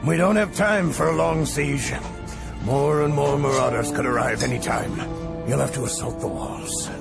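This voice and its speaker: An actor playing a blunt, battle-seasoned artillery captain in a fantasy game, gruff and gravelly. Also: male voice, mid-range voice, moderate pace. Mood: blunt, grim. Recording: music behind the voice.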